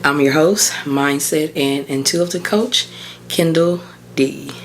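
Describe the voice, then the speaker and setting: Hoarse woman in her thirties, chatty. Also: sits low, takes it slowly. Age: 30s. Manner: chatty, informal, encouraging, addresses listeners as y'all. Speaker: podcast host and mindset coach. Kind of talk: casual solo podcast talk.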